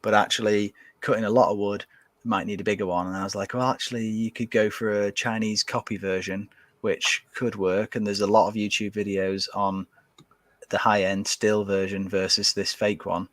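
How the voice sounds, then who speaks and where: A man's voice: on the low side, flat delivery, normal speed, a bit bright, no echo. A man chatting casually as a podcast guest, rambling with many fillers and restarts. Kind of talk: casual chat.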